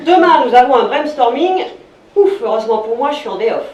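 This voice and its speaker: A woman's voice: high voice, lively intonation, fairly quick. A woman delivering a playful monologue packed with office jargon in a breezy manner. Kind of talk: comic sketch monologue full of corporate jargon. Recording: echoey and distant with faint noise.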